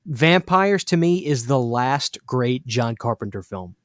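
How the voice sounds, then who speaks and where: A man hosting a casual live horror stream, enthusiastic and emphatic, repeating pet phrases, a little hoarse from losing his voice. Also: medium pitch, lively intonation, unhurried, no echo, studio quality. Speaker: horror channel host.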